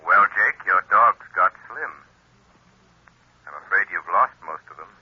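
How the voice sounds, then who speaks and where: An actor playing a mysterious masked avenger who speaks in a calm, cultured, mocking way, in 1940s radio acting on a lo-fi old broadcast. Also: male voice, sits low, unhurried, breathy edge, no room sound, full character voice. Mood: commanding.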